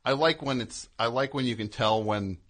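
A man's voice: medium pitch, normal speed, fairly bright tone. A man chatting casually and comedically as a talk show host, riffing with mock-indignant asides.

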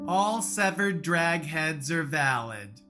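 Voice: mid-range voice, lively intonation. An actor playing a crabby, foul-mouthed drag queen contestant in a comedy radio play, blunt and unimpressed. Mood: deadpan. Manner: blunt, irritated, drawn-out. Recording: loud music bed behind the voice.

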